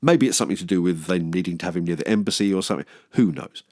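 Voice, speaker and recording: A British man hosting humorous scripted film commentary, with wry, self-deprecating and expressive comic asides. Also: deep voice, racing, dry recording, clean studio sound. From England. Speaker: film review video host.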